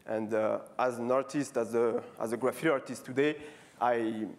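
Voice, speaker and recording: A man in his thirties with a French accent, giving a casual conference talk on stage, halting often with fillers and restarts. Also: mid-range voice, fairly even intonation. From France. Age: 30s. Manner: casual, friendly, repeating words. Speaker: street artist giving a talk. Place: stage before a live audience.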